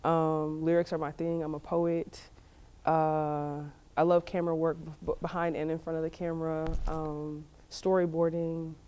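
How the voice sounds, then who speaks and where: A woman's voice: deep voice, flat delivery, normal speed, warm tone, clean tone. Passionate emphatic woman in her thirties. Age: 30s. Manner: passionate, emphatic, laughs often. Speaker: interviewee, single woman dating. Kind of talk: casual interview talk.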